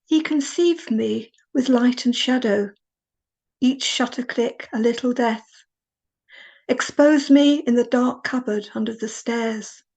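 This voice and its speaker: A woman reading poetry aloud, deliberately, phrase by phrase. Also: fairly high pitch, darkish tone. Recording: dull, lo-fi sound.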